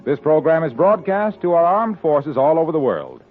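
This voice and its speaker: A man announcing and reading sponsor messages on a 1940s radio comedy show, in an upbeat sales pitch. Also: big pitch swings, moderate pace. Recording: tinny old radio broadcast, faint music.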